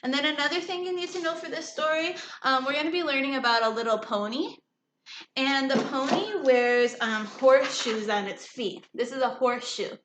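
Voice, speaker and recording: A woman teacher reading a story aloud to children, pausing to explain simply and check understanding with questions. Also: high voice, fairly bright tone. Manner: instructive, checks understanding often. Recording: echoey room with faint noise.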